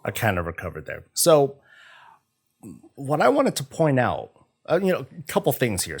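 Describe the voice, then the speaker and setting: A man chatting casually on a gaming livestream, halting and often stumbling over repeated words. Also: lowish voice. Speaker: streamer, host of a morning show. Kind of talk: casual livestream chat about games.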